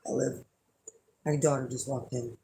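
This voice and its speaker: A hoarse middle-aged woman chatting casually to her viewers as an astrology reader, rambling and blunt with lots of fillers. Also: very deep voice, fairly even intonation, normal speed, mellow, slight background noise, rough recording. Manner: rambling and blunt, with frequent fillers and some swearing. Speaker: astrology reader and channel host.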